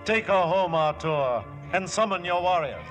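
An actor playing a mocking, imperious ruler in a grandiose, commanding manner for 1950s radio drama. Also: male voice, sits high, lively intonation, unhurried, over loud music. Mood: lordly amusement. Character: imperious, mocking Philistine overlord.

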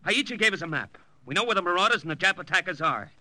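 An actor playing a wry, urgent American army officer in a clipped, hard-boiled old-time radio war drama. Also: male voice, high-pitched, lively intonation, racing, bright, forceful tone, dry recording, heavily acted. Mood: urgent, exasperated. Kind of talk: 1940s-style radio drama acting and narration. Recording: very noisy vintage radio recording.

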